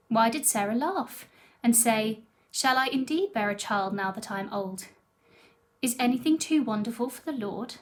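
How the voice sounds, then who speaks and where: Woman, fairly high voice, scripted Bible reading for a church.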